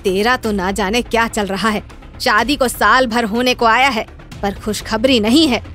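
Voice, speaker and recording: A woman as a harsh, high-voiced mother-in-law. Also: fairly even intonation, unhurried, fairly bright tone, faint music behind. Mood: taunting. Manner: scolding, commanding. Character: harsh, taunting middle-aged mother-in-law. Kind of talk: acted moral story.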